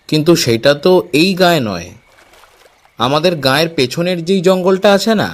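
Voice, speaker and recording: An eager village fisherman from a cartoon, acted by a man. His voice sits a little high and swings up and down in lively fashion. He sounds knowing and persuasive. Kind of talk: Bangla cartoon voice acting.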